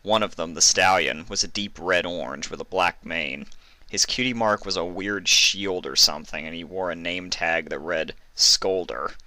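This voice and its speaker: Man, deep voice, crisp bright edge, scripted dramatic storytelling, fan fiction reader. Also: moderate pace, no echo.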